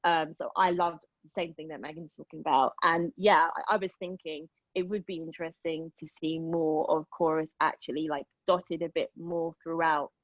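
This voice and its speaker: A woman giving casual, appreciative feedback as a cast member, speaking in halting phrases. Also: low-pitched, fairly even intonation, no echo, muffled recording. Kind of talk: casual discussion.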